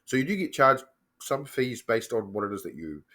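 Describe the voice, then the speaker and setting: A man with a New Zealand accent who has a cold and sounds slightly croaky, casually walking through his finance app on screen with lots of ums and self-corrections. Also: medium pitch, big pitch swings, normal speed, pushed and bright. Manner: chatty, loose, frequent ums and self-corrections. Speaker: personal finance vlogger. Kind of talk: casual screen-share walkthrough.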